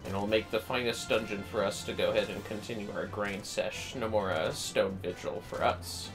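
A man's voice: on the low side, fairly even intonation, normal speed. A man livestreaming a game with casual, chatty commentary in an easygoing manner. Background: faint music.

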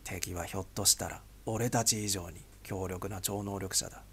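A man voicing a grim, weary spy boss who speaks tersely and heavily in a gravelly, husky voice. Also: low-pitched, steady tone, normal speed, a little hiss or hum, voice pushed down, natural voice. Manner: heavy, somber, terse.

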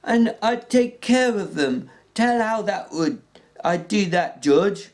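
A reader putting on a big, childlike ranch hand whose voice climbs high and slows as he begs and repeats himself. His words come simple and eager. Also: expressive, a little mellow. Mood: pleading.

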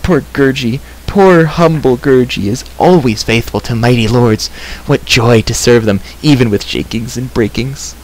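A man voicing a small shaggy creature from a storybook, whimpering, grovelling and self-pitying in drawn-out wails. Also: mid-range voice, close to an everyday voice, poor audio. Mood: whimpering, pleading. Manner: whimpering, wailing, drawn-out rhyming third-person speech. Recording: clear background hiss.

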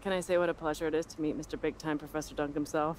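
Actress playing a sardonic, self-assured American reporter. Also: female voice, deep voice, level tone, racing, darkish tone, slight background noise, lightly acted. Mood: teasing, sardonic. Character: sharp, ambitious female sports reporter, cool and provocative. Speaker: sports journalist character. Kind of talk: video game cutscene dialogue.

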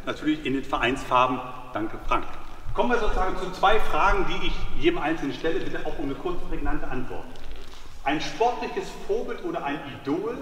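Man, moderator, brisk and joking, sports hall, distant camera, roomy echo. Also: a little high, animated, fairly bright tone, slight background noise. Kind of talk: moderating a candidate round. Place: large indoor sports hall. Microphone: far camera. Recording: echoey sports hall from far off.

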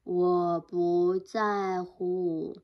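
A woman voicing a sleepy, lazy male bear in a picture-book read-aloud, speaking in a slow, drowsy, yawning drawl. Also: lowish voice, flat delivery, dull audio, voice pushed down.

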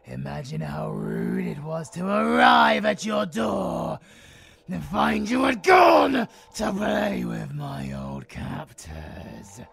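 Villain for audio drama: sadistic British monster, gravelly, wildly swinging pitch, theatrical and taunting. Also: male voice, huge pitch swings, fairly bright tone, shouting, full character voice. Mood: gleeful mocking. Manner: sing-song, taunting, savouring words slowly. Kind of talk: audio roleplay villain acting.

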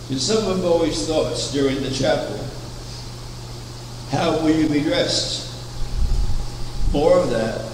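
Old guy preaching, measured storytelling, long reverb, steady hum behind. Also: mid-range voice. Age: older. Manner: measured, anecdotal, with rhetorical questions. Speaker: preacher, founder of a religious order. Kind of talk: sermon. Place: chapel, at a wooden pulpit. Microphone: far camera. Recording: echoey chapel from far off, air conditioning hum.

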